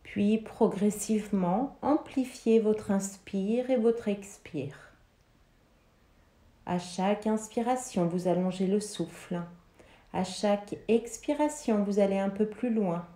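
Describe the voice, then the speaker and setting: Slow, mellow woman guiding relaxation. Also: mid-range voice. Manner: soothing, measured, with pauses between short phrases. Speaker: yoga nidra guide. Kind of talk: guided relaxation meditation, instructing listeners. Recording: roomy, reverberant sound.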